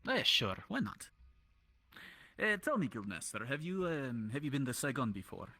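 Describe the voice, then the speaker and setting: Man playing a bright, lilting scholarly townsman. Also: mid-range voice, very animated, full character voice. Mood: tentative, curious. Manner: halting, courteous, old-fashioned phrasing. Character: polite, scholarly townsman in a fantasy town. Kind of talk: improvised virtual-reality roleplay.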